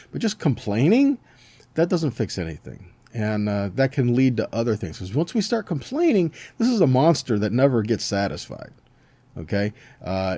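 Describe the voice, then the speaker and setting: A man's voice: medium pitch, warm tone, dry recording. A man giving an informal sermon as a Bible teacher, conversational yet emphatic, often checking in with short rhetorical questions. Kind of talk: informal sermon with scripture reading.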